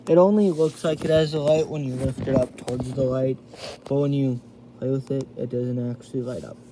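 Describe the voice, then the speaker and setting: A man giving a casual hands-on product review, thinking aloud in a halting way as he demonstrates. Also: mid-range voice, fairly even intonation, mellow. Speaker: amateur gadget reviewer. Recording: noisy homemade recording with radio static.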